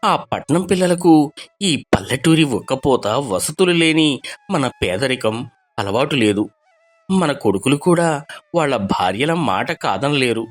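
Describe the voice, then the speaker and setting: A man playing a gentle, dutiful village son and brother in a dramatized moral story, earnest and pleading. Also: mid-range voice. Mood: consoling, resigned.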